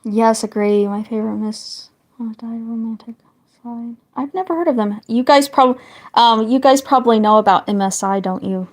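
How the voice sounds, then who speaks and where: A woman chatting casually with her livestream viewers while she draws, meandering from topic to topic and often trailing off into quiet asides. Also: a little high, normal speed. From northern United States.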